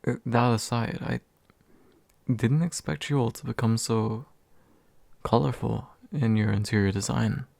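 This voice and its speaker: A man playing a courteous, gentle demon in a soft ASMR roleplay, politely formal and a little hesitant. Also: medium pitch, fairly even intonation, unhurried, vocal fry, dry recording. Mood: musing, genial. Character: courteous, gentle male demon offering comfort to his summoner. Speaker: ASMR voice actor.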